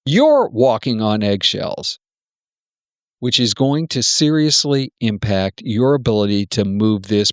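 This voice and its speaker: A man's voice: medium pitch, dry recording, clean studio sound. A man playing a wary, self-protective senior executive in an amateur radio play, talking in a blunt, cautioning, persuasive way.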